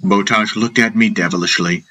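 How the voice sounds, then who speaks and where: A man narrating a fantasy audiobook in a measured, dramatic storytelling style. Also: on the low side, steady tone, bright, forceful tone.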